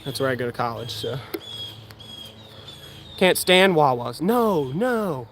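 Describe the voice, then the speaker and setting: A man's voice: medium pitch, big pitch swings, slow pace. An eighteen-year-old man with a Pennsylvania accent, chatting casually on a livestream and answering viewer questions while he shoots archery outdoors. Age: young adult. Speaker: outdoors channel host. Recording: faint outdoor background noise.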